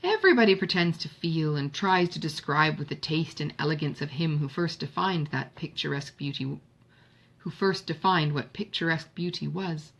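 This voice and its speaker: A woman's voice: sits low, moderate pace, fairly bright tone. A woman voicing an ardent, outspoken romantic young lady in an audiobook of a Regency novel, emphatic and formal. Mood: indignant, fervent. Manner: emphatic, impassioned, formal period diction. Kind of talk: audiobook character dialogue.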